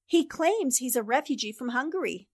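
Woman playing a high-voiced 1940s radio newspaperwoman. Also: expressive, normal speed, no echo, studio quality. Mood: determined. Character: determined, exasperated woman newspaper reporter.

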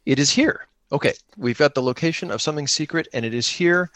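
A man improvising his way through a tabletop game in casual chat, thinking out loud as he plays. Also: a little high, quick pace, slight background noise. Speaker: podcast player improvising a solo tabletop game.

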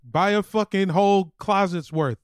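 A man's voice: sits high, normal speed, clean tone, no room sound, clean studio sound. A man co-hosting a podcast with casual, irreverent comic banter full of slang and swearing. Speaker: podcast co-host.

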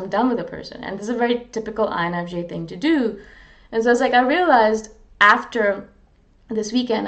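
Earnest, self-deprecating woman from India. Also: mid-range voice. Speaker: vlogger on personality types. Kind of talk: casual reflective monologue to camera.

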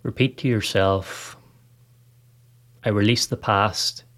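A man with a notably low voice narrates a guided meditation. He delivers the script calmly and evenly paced in short phrases, with unhurried pauses between them. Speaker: podcast host, meditation guide.

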